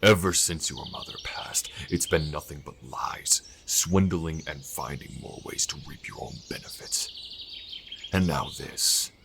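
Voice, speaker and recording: Man playing a very deep, gravelly, domineering king. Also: in a whisper, exaggerated voice. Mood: angry, bitter. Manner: imperious, deliberate scolding. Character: stern, domineering older king, contemptuous father. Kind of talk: dramatic fantasy audio roleplay acting. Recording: noisy background with birdsong.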